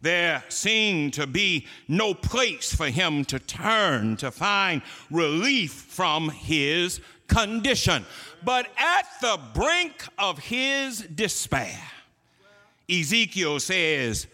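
A man's voice: high-pitched, very animated, drawn-out words, bright, forceful tone, in a large hall. A man preaching a sermon to his church, building emphasis through repeated phrases. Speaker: pastor.

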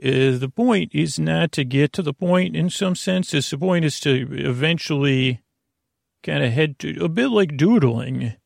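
A man hosting a podcast in a meandering, halting monologue, often trailing off mid-thought with a creaky, gravelly voice. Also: a little high, animated, no room sound, studio quality. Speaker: podcast host.

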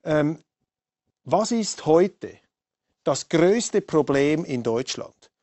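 A man's voice: fairly high pitch, expressive, extremely slow, a little mellow, no room sound. Host guy asking long rambly questions, dry close sound. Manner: probing, musing questions with restarts. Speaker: host and moderator. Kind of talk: moderating a debate.

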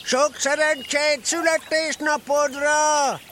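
A man playing a cheerful, simple, kindly toy bear in a children's audio drama, eager, naive and a little halting. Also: very high voice, flat delivery, pushed and bright, a little hiss or hum. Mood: excited, calling.

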